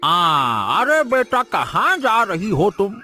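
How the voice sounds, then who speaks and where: A man's voice: high-pitched, wildly swooping pitch, slow, sharp tone, soft background music, heavily acted. An actor playing a fussy middle-aged village father in a cartoon, scolding in a wheedling, mock-polite way.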